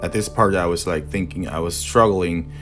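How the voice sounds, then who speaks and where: A young man with a Chinese accent, a native Mandarin speaker, narrating a voice-over that explains his tattoo design process. He talks haltingly and searches for words in his second language. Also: low-pitched, over music. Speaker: tattoo artist and art instructor.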